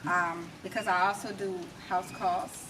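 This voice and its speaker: A woman's voice: mid-range voice, fairly even intonation, a little slow. Middle-aged American woman, talkative interview guest, emphatic delivery, recorded away from the mic with noticeable noise. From United States. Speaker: interview guest, massage therapist and music artist. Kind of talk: conversational interview. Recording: distant mic, clearly noisy background.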